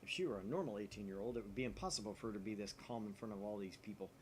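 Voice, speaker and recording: A man co-hosting a visual novel playthrough, switching between casual chat and animated narration of the game text. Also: fairly low pitch, racing, a little hiss or hum.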